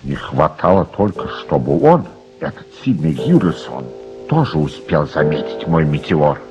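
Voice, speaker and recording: An actor playing an absent-minded, obsessive older uncle who stargazes, preoccupied and halting, repeating his words in radio drama style. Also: very deep voice, expressive, over music, heavily acted. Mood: brooding. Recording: dull, slightly noisy archive radio recording.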